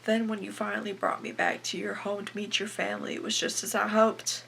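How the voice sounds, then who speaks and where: A woman's voice: mid-range voice, level tone, fairly quick, creaky, slight background noise. A teenage girl playing a desperate, bitter young farm wife in a confessional dramatic monologue. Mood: yearning, resentful. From Canada. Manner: confessional, anguished, swinging from hushed to bursting. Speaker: aspiring actress performing a film monologue.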